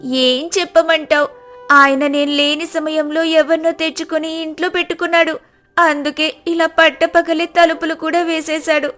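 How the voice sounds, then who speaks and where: Woman voicing foolish, high-pitched wailing wife, big melodrama, soft music. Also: level tone, normal speed. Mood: complaining.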